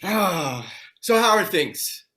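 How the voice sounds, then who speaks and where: A man's voice: high-pitched, big pitch swings, slow, sharp tone, exaggerated voice. A man playing an affectionate father in his late thirties in a drama table read. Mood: easygoing, affectionate.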